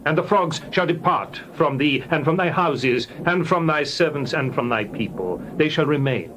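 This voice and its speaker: A man's voice: a little high, lively intonation, normal speed, background noise, natural voice. A man playing an earnest prophet addressing a king in a dramatized scripture reading, formal and declamatory. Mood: solemn assurance.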